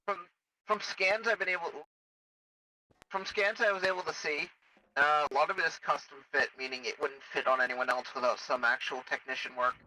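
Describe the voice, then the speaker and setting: A man's voice: a little high, fairly even intonation, brisk, bright, forceful tone, distant mic, rough recording. A man playing a worried, cautious technician in a sci-fi game role-play, explaining things hesitantly. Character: worried, cautious technician analysing cybernetic implants.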